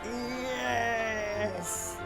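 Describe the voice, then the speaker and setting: A woman's voice: fairly high pitch, steady tone, very slow, gravelly, exaggerated voice. An actress playing a stabbed, dying woman who speaks in broken, gasping phrases in an amateur radio drama. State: gasping, weak. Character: stabbed female ally, dying. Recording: music underneath.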